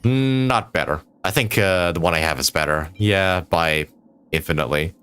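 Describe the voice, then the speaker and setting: Low-voiced rambling man streaming a game. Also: moderate pace, fairly bright tone, clear, resonant tone. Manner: rambling, thinking aloud. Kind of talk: casual live gameplay commentary.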